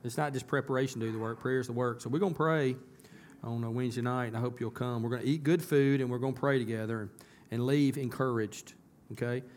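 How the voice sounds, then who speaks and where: A hoarse man, a pastor talking to his congregation in a casual, folksy way that turns emphatic. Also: mid-range voice, steady tone, quick pace, darkish tone. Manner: folksy, conversational, rising to emphatic. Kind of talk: casual church announcements and sermon. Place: church sanctuary.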